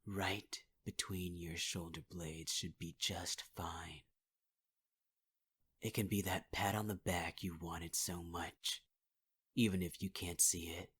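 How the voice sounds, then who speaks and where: A man's voice: deep voice, fairly even intonation, slow pace, fairly bright tone. A man performing a menacing, taunting villain in an ASMR audio roleplay, often half-whispering. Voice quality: husky, half-whispered delivery.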